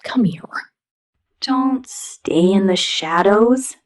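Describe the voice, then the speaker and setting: A woman voicing an angry outcast teenage robot girl, edgy and grudgingly affectionate, in an ASMR roleplay with a husky, breathy voice. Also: mid-range voice, animated, very slow. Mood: grudging, impatient.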